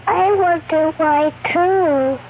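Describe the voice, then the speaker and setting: Very high, slow-talking child. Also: over loud music. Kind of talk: simple, earnest conversation in a radio spot. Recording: tinny radio band, loud noise.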